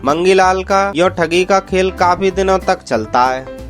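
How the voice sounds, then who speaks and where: Male storyteller, scripted narration, slightly high pitch, faint music in the background. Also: unhurried.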